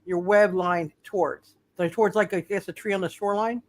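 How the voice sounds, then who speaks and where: Halting game master with repeated phrases. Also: mid-range voice, fairly even intonation. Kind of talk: running a live tabletop superhero roleplay game.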